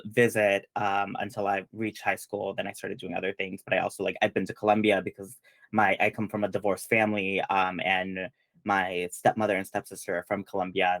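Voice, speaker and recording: A man's voice: sits low, fairly bright tone, dry recording. A young man from Peru hosting a casual panel chat, rambling with lots of fillers. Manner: chatty, many fillers and restarts. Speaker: panel host.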